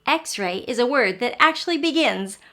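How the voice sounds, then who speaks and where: A woman's voice: fairly high pitch, animated, moderate pace, a bit bright. A woman teacher giving a phonics lesson and story time for young children, explaining simply and asking frequent questions. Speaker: early learning teacher and story reader.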